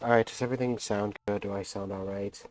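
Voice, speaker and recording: A man's voice: fairly low pitch, steady tone, fast, darkish tone, faint music behind. A young man chatting casually on a game livestream into a headset mic, rambling and self-deprecating, his voice a little off after being sick. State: voice slightly off after a recent illness. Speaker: game streamer.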